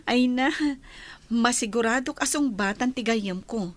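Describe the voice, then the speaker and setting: Woman acting a high-voiced, excitable village sweetheart. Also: a little slow, lightly acted. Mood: surprised, playful. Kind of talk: Ilocano radio comedy drama acting. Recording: music under the voice.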